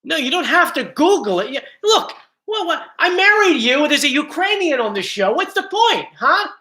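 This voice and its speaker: A man's voice: extremely high pitch, big pitch swings, normal speed, bright, forceful tone. A man hosting a casual live stream from home, chatty and joking, easily drifting off topic. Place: home, bedroom area. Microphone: computer mic.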